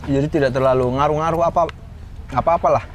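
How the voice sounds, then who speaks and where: A man in casual chat, telling stories in a relaxed way about writing comedy films, laughing often. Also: fairly high pitch, fast, highs cut off, a little hiss or hum. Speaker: comedy screenwriter.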